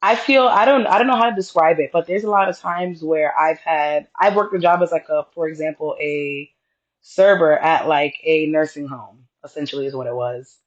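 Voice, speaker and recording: Low-voiced woman with a reserved tone. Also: moderate pace, highs cut off. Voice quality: deeper voice. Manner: reserved, straightforward tone. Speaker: podcast co-host. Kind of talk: casual conversation.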